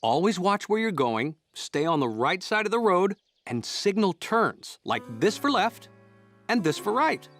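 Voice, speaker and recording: A man voicing a kindly, encouraging grown-up guardian in a children's cartoon, gently instructive in manner. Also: high voice, big pitch swings, measured pace, full character voice. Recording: music behind the voice.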